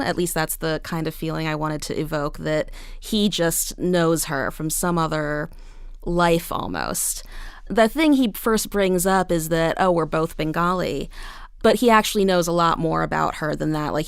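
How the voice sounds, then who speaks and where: A woman's voice: sits low, creaky, clean tone, no echo, studio quality. A woman answering interview questions as a guest playwright, conversational, explaining things in a thoughtful way.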